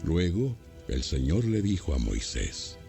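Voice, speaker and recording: Male Bible narrator, very deep, mellow, scripted storytelling, faint music behind. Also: big pitch swings, unhurried, gravelly.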